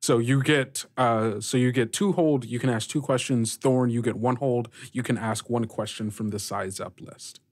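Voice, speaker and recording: Mid-pitched male game master, halting delivery. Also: steady tone. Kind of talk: running a tabletop roleplaying game.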